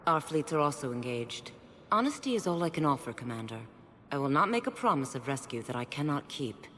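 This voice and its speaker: Stern proud alien councilor, clipped n dismissive, echoey hall, faint noise behind, video game. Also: female voice, low-pitched, big pitch swings, a little fast, fairly bright tone. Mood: firm refusal. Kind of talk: dramatic video game acting. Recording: echoing hall, faint background ambience.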